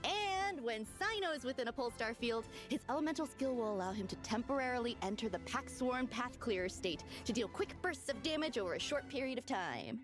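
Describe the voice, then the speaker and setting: A woman's voice: a little high, lively intonation, brisk, hardly any pauses. A woman reading scripted, upbeat promotional news as a game showcase presenter. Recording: music clearly under the voice. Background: background music.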